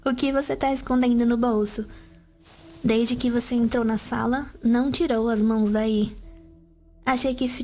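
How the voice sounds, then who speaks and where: A playful, smug vampire sister voiced by a woman with a fairly high voice. She teases and mocks in an ASMR roleplay drama, with faint music underneath. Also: a little mellow. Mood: teasing, nosy. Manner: flirtatious teasing, mocking, playful. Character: teasing, vain vampire sister and painter, playful. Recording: music behind the voice.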